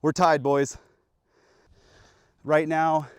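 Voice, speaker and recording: A man's voice: fairly high pitch, little pitch movement, very slow, clean tone, no echo, clean studio sound. A young man hosting an archery vlog outdoors on public land, hyped and slangy, talking to the camera. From Pacific Northwest of the US. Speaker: vlogger. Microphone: action camera.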